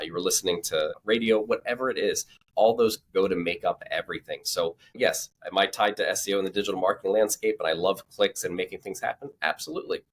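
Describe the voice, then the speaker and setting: A man talking conversationally as an expert guest on a podcast, explaining things with assurance. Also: deep voice.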